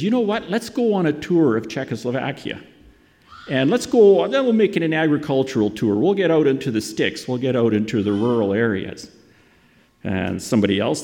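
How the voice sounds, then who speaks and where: A middle-aged man preaches in a folksy, conversational way, with a gravelly, creaky edge to his voice. His medium-pitched speech rings through a large hall with long reverb, moving between anecdote and scripture. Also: darkish tone. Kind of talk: sermon with personal anecdotes.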